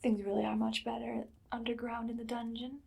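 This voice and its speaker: A woman playing a cruel, imperious evil queen in an ASMR roleplay, speaking softly and often slipping into a whisper. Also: a little high, monotone, brisk, creaky, husky, heavily acted. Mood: coolly amused. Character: haughty evil queen, cruel, darkly amused conqueror. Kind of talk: ASMR roleplay monologue, personal attention.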